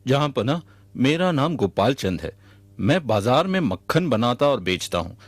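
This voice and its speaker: A man's voice: medium pitch, very animated, normal speed, no echo. A man playing an earnest, good-natured butter merchant in a Hindi cartoon. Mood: earnest, pleading. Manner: earnest, respectful.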